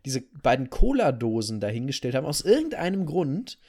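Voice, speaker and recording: Guy with a medium pitch voice chatting on a podcast, emphatic, repeats words for stress. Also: sing-song, a little fast, darkish tone. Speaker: podcast co-host. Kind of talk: casual podcast chat.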